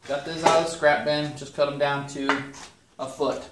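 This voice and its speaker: A man's voice: on the high side, moderate pace, distant mic, very noisy. Middle-aged man talking in an echoey garage. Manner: relaxed, explanatory, frequent ums. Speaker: DIY vlogger. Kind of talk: casual build narration. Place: garage workshop. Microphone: camera mic from a distance.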